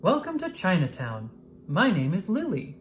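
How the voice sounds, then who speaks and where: A woman's voice: on the low side, huge pitch swings, normal speed, a little hiss or hum, pitched above the performer's own voice. A woman voicing a friendly, eager young girl in a children's storybook, with an encouraging, instructive way of talking. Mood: cheerful welcoming. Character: Lily, friendly eager young girl leading a dragon dance. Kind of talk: children's storybook character dialogue.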